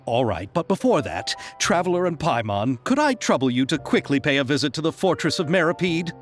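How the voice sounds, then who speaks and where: An actor playing a modest, earnest adult film director who politely shares credit. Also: male voice, fairly high pitch, sing-song, brisk. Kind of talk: video game voice acting. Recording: game audio with music.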